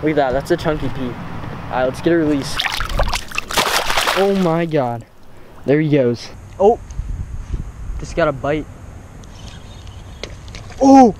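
A young man commenting live on his fishing outdoors by a pond, breaking into excited exclamations with every catch. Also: fairly high pitch, slow pace, pauses often, background noise. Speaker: fishing vlogger.

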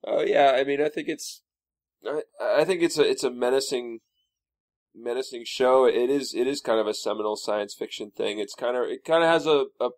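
A man's voice: medium pitch, moderate pace, dry recording. A man giving his opinion as a guest in a casual panel discussion, restarting and repeating phrases.

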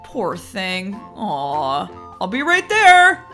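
A woman's voice: medium pitch, wildly swooping pitch, very slow, bright, forceful tone, soft background music, exaggerated voice. A woman playing a worried, goofy young husband in a children's skit, fretful and dramatic. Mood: concerned, goofy. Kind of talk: playful skit role-play for children.

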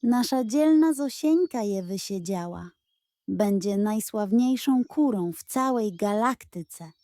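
A woman voicing a cunning, gossipy, excitable male cat in a children's storybook, hissing and urgent. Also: mid-range voice, takes it slowly, dry recording, clean studio sound.

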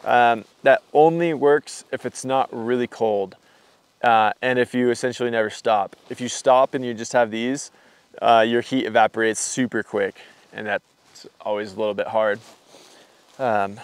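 A man's voice: mid-range voice, a little slow, clean tone, dry recording. A young man casually walking through his hiking gear on camera, rambling and loose, with lots of fillers. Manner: rambling, filler-heavy, relaxed explaining. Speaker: hiking vlogger. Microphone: camera mic.

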